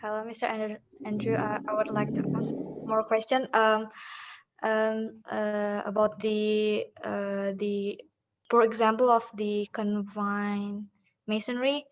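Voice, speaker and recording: A woman's voice: mid-range voice, monotone, takes it slowly, a little hiss or hum. Hesitant woman student on a dull line. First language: Indonesian. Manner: hesitant, searching for words. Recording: dull lo-fi call audio.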